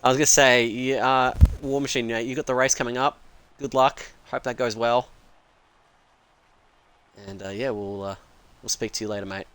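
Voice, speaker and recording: A man's voice: medium pitch, steady tone, moderate pace, pauses often, a bit bright, clean tone. A young Australian man doing live sim racing commentary, chatty and joking, with casual swearing.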